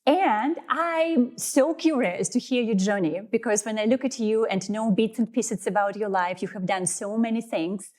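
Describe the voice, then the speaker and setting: A woman's voice: on the high side, normal speed, few pauses, a little mellow. A woman hosting a studio interview, asking curious follow-up questions. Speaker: host.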